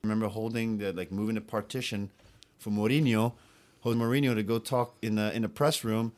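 A man's voice: on the low side, steady tone, fairly quick. A man, telling his life story casually as a podcast guest. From Geneva, Switzerland and United States.

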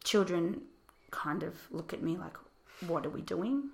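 A woman teacher, speaking thoughtfully and patiently in a casual discussion, her voice a little croaky. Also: lowish voice, lively intonation, measured pace.

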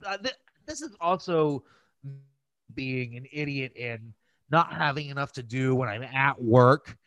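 A man's voice: mid-range voice, lively intonation, measured pace, fairly bright tone, no room sound. A man hosting a casual podcast, ranting in an exasperated, opinionated and profane way. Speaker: podcast host.

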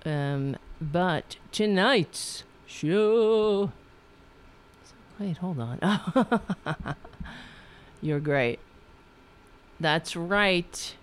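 Woman, New York accent, talk show host, slow-paced, smooth voice, rambling, swears. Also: fairly low pitch, lively intonation. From Staten Island, New York. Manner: rambling, profane, frequent restarts. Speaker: podcast host. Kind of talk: political talk show monologue.

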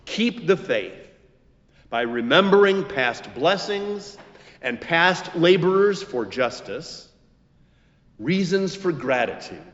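A man preaches in a muffled recording with long hall reverberation. He takes his time, phrasing his prepared sermon emphatically and with very animated intonation. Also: a little high, takes it slowly. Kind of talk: scripted expository sermon with quotations.

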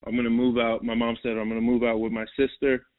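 A man's voice: mid-range voice, monotone, fast talker, no echo. Rambling young man on a tinny line. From New York. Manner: rambling, repeats words, says "bro" and "like". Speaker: basketball player, guest. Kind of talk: casual interview. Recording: tinny phone-like line, dry.

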